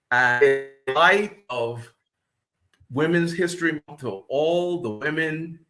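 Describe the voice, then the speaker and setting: A man's voice: medium pitch, a bit bright. A man giving the closing remarks of a conference talk, reading out quotes. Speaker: conference speaker.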